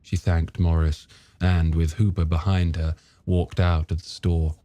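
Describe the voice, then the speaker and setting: A man's voice: sits low, little pitch movement, a little slow, mellow, no echo. Male audiobook narrator, dry close sound. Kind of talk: scripted story narration.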